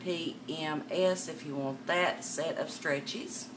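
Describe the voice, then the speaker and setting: Older woman host, low voice, chatty live-sale patter, webcam a bit far off with room noise. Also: slow pace. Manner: chatty, calls viewers darling and miss. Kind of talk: live sales stream, chatting to viewers. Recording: distant mic with background noise.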